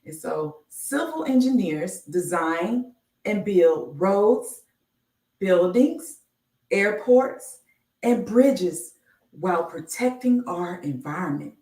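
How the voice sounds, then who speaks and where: A woman reading a children's picture book aloud on a live stream, enunciating deliberately like a teacher. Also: fairly low pitch, expressive, darkish tone. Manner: slow, deliberate enunciation with teacherly announcements of each letter. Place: indoor room, live stream. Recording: roomy, echoey, distant microphone.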